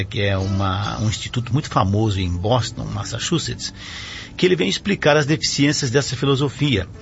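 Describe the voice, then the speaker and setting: A man's voice: fairly low pitch, creaky. Chatty male radio pastor, lo-fi sound. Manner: chatty, explanatory, often adds "né?". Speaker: radio program host and pastor. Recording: lo-fi radio broadcast with faint music.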